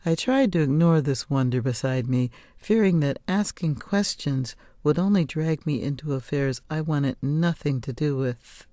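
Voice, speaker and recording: A woman's voice: sits low, unhurried, few pauses, mellow, no room sound, studio quality. A woman narrating a scripted first-person novel as an audiobook reader.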